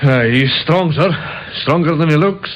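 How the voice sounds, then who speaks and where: An actor playing a deferential, slightly puzzled police sergeant in an old radio drama, plain-spoken and obedient. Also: male voice, fairly high pitch, moderate pace, muffled recording, natural voice. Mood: impressed. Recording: hissy old radio recording.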